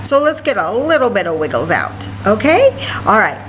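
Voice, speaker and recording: A woman's voice: medium pitch, sing-song, moderate pace, a bit bright, faint music behind, rough recording. A woman hosting story time for young children, chatty and encouraging, talking to them directly with frequent asides. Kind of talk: sing-song story time for young children, singing, read-aloud and chatting.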